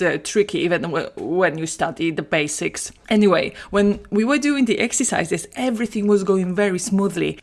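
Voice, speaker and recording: A woman from Poland with a Polish accent, a language teacher talking through her solo podcast and putting questions to the listener. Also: lowish voice. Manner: chatty, asks the listener questions.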